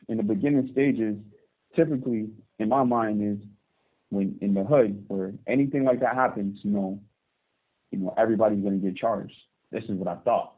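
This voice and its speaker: A man in his thirties from Barbados, calling in from prison and telling his story calmly, with hesitant restarts. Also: fairly low pitch. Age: 30s. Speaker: guest. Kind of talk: phone interview. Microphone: phone call. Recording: tinny phone line.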